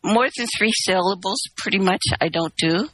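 A woman's voice: medium pitch, normal speed, a bit bright, husky, no room sound, rough recording. A woman from Canada, telling a long personal story in a candid, rambling, wry way on a call-in chat. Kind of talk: casual phone-in chat.

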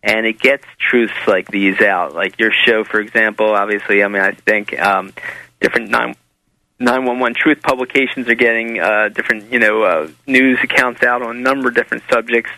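An American man gives a casual interview as a guest. His voice is low and flat, with a bright, forceful edge. He explains things in a halting, rambling way, recorded very dry. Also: no echo, low-quality recording. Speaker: interview guest, author and documentary maker.

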